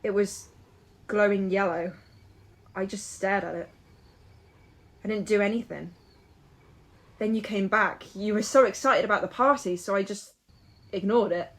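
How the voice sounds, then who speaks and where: An actress playing a frightened, guilt-ridden young woman in an audio drama, speaking in halting, broken-off phrases. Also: medium pitch, natural voice. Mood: subdued, remorseful. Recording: music behind.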